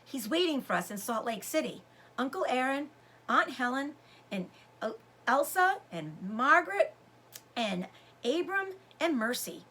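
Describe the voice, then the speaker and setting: Casting: grieving orphaned storybook girl, wistful, hushed and halting, husky edge, played by a woman reader. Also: high voice, very animated, exaggerated voice.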